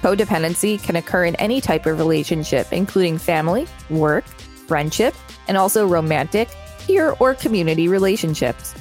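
A woman with a slightly low voice co-hosts a podcast, reading reference material aloud and commenting casually. Faint music plays behind her as she speaks. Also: animated, a little fast, a little mellow. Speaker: podcast co-host reading an encyclopedia article. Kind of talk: scripted narration read aloud, with brief casual commentary.

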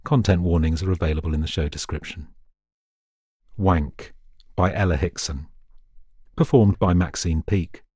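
A man's voice: low voice, moderate pace, pauses often, darkish tone, breathy edge, dry recording, studio quality. A man reading a scripted introduction and credits as a programme announcer.

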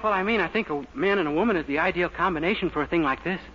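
A man's voice: on the high side, lightly acted. A man playing an eager, naive young man. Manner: innocent, earnest, quick chatter. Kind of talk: 1940s radio sitcom acting. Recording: dull 1940s radio broadcast with loud hiss.

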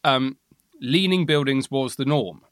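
Man, mid-pitch, casual co-host, stammers and restarts while thinking aloud, dry close sound. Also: a little slow, a bit bright, no echo. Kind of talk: casual conversational chat.

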